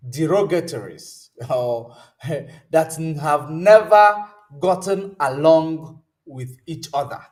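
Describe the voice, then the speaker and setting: Slow, high-voiced man talking politics. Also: a little mellow. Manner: rambling, searches aloud for words with many ums; answers viewer comments mid-talk. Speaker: host. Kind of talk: political commentary livestream.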